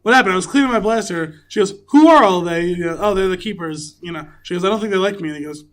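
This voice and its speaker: A man's voice: sits high, fast. A man co-hosting a podcast, talking casually and giving his opinions on films. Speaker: podcast co-host. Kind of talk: casual film chat.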